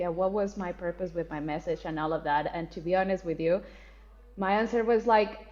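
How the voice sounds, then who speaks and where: A woman's voice: medium pitch, dull audio. A woman from Colombia, talking candidly and expressively as an interview guest, laughing easily and swearing casually. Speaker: guest, spiritual teacher.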